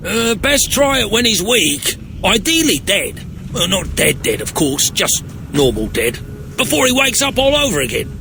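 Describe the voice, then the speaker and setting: An actor playing a stubborn, cocky dwarf sidekick in a video game, with a blunt, informal, cheeky way of talking. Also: male voice, high voice, big pitch swings, moderate pace, background noise. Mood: cheeky, nervous. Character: scrappy, cocky, stubborn male dwarf companion. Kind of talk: video game cutscene acting.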